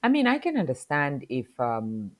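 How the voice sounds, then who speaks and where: A middle-aged woman from Kenya, speaking as a podcast guest in a reflective, halting way full of fillers. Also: low-pitched, huge pitch swings. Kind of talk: casual interview talk.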